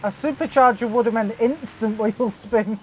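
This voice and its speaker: Excitable high-voiced woman gamer. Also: normal speed, a little hiss or hum, rough recording. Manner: excitable, exclaiming and laughing. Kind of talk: casual gaming banter.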